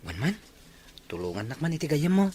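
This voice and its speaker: A man's voice: a little high, sing-song, a little fast, background noise. A man playing an earnest, polite young suitor in an audio drama. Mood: eager. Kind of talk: Ilocano audio drama acting.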